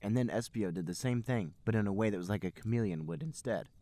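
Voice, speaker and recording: A man's voice: on the low side, quick pace, dark tone, dry recording. A man hosting a podcast, reading comedic narration aloud. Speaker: podcast host and reader.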